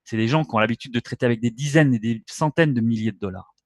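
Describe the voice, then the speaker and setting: A man's voice: medium pitch, fast, dry recording, studio quality. Blunt French man lecturing viewers. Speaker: webinar host. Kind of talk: trading webinar talk.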